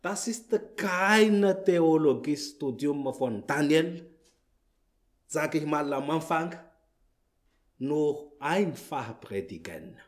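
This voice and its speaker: A man whose first language is Malagasy, speaking German with a French accent as a visiting preacher in church, halting and searching for words. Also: a little high, animated. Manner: halting, many fillers and restarts, searches for words. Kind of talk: church greeting and sermon opening. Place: church hall.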